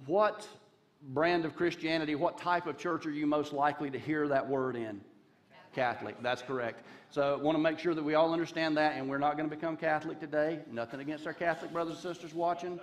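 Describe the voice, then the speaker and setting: A man's voice: fairly high pitch, fairly quick. A man in his fifties from Charlotte with a Southern accent, a guest pastor giving a conversational sermon on a church stage with folksy jokes and asides, speaking into a headset mic. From Charlotte, North Carolina. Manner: folksy, joking asides, gestures while pacing the stage. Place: large dark church stage.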